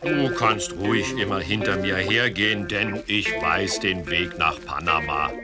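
A man's voice: low-pitched, a little slow, over music. A man playing a kindly, confident and slightly bossy little bear in a children's audio play.

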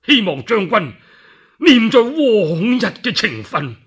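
A man performs a cornered warlord chancellor from a radio storybook tale, with a Cantonese accent. The voice is high and husky, swooping widely as he boasts or wheedles in long theatrical phrases. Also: sing-song, a bit bright, exaggerated voice. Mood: pleading. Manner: theatrical, drawn-out pleading and boasting.

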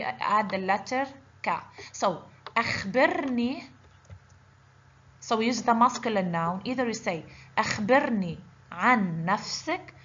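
A woman's voice: medium pitch, fairly bright tone. Woman teacher going thru a language lesson step by step, kinda lo-fi voiceover w/ a bit of hiss. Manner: patient, explaining step by step with frequent "okay?". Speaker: Arabic teacher. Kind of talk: online language lesson over slides. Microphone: voice-over on slides. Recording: slightly dull lo-fi voice-over with faint noise.

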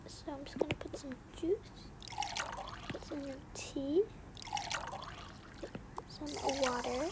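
A young female performer voicing a resentful, sneaky young girl in a game roleplay, often muttering in a breathy half-whisper with casual slang. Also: sits high, fairly even intonation, slow. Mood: scheming, sulky. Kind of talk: improvised game roleplay storytelling. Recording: noisy home recording with clicks.

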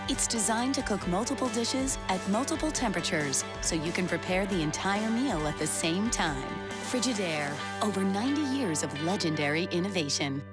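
Polished woman announcer over loud music. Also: medium pitch. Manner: smooth, polished. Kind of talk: scripted commercial voice-over.

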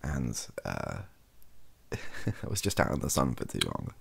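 A young man chatting softly in a rambling, self-deprecating ASMR style, hesitant and husky, close to the microphone. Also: rumbling low, huge pitch swings, a little slow. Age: young adult. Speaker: ASMR video creator. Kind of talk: softly spoken ASMR chat. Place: small bedroom at a desk.

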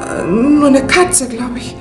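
An actress playing a composed young wife in a 1960s radio crime drama, polite and measured. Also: female voice, high-pitched, expressive, over loud music. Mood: hushed, nervous. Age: young adult.

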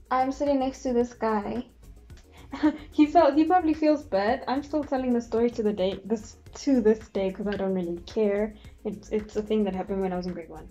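A woman's voice: medium pitch, mellow. A young woman vlogger with a congested, fading voice, telling a chatty, giggly story in her bedroom while her makeup is done. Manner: chatty, giggly, acts out quotes. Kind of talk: casual storytelling vlog. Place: bedroom, indoors.

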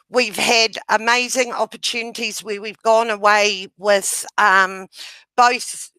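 Middle-aged woman, loud voice, bright tone, dry headset sound, webinar presenter. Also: fairly high pitch, dry recording, low-quality recording. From New Zealand. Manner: loud voice, gestures while talking. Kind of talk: explaining a method with slides. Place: home room, indoors. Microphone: headset on a video call.

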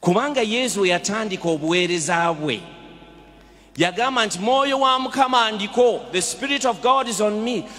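A Ugandan-accented man preaches from the pulpit, his voice sitting a little high for a man. He drives his points with rhetorical questions and urgent exhortations. A long church reverb trails every phrase. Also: lively intonation, moderate pace, pushed and bright, faint music behind. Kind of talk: sermon. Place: large church.

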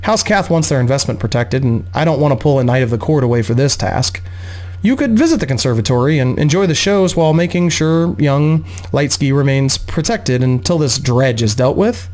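A man voicing a gracious, composed noblewoman mother with a measured, genteel manner in a fantasy audiobook. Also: medium pitch, lively intonation, darkish tone, natural voice.

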